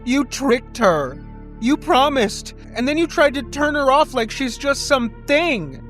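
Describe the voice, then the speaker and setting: A man's voice: extremely high pitch, animated, measured pace, fairly bright tone, soft background music, pitched above the performer's own voice, exaggerated voice. A man voicing a fiercely protective young girl in an audio story, emotional and accusing. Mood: outraged screaming.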